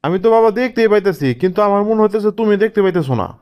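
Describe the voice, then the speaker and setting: Exasperated young cartoon husband played by a man, high-pitched, quick scolding delivery, Bangladeshi Bengali accent. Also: lively intonation, clean tone, dull audio. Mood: scolding, fed up. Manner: scolding, questioning. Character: exasperated young husband, loyal to his family. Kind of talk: cartoon family comedy drama.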